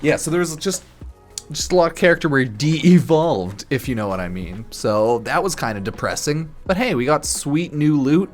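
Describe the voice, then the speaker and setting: A man streaming casual, rambling commentary on a game, loose and halting in an informal, slangy, slightly tipsy way. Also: on the high side, sing-song. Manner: informal, crude slang and swearing. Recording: music behind the voice. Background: background music.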